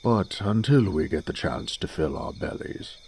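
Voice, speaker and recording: A man acts out an older noble father in a fantasy audio drama, avuncular and dignified. The voice is rumbling and very low, gravelly and unhurried. Faint cricket-like noise runs behind it. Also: expressive, darkish tone. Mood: gently chiding. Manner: stately, avuncular, measured.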